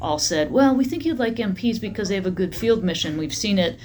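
A middle-aged woman army general answering interview questions in a matter-of-fact, steady way. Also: medium pitch, a little fast, slight background noise.

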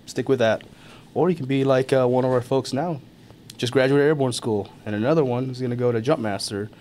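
A man's voice: medium pitch, brisk, darkish tone. Easygoing man, faint background hiss. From born in Thailand, raised in America. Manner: easygoing, chuckles often, many fillers and restarts. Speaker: army NCO guest. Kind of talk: casual interview talk.

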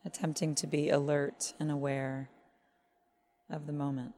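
A woman leading a guided body scan meditation in measured phrases with long pauses. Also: low voice, little pitch movement, warm tone, slight background noise. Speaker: meditation teacher.

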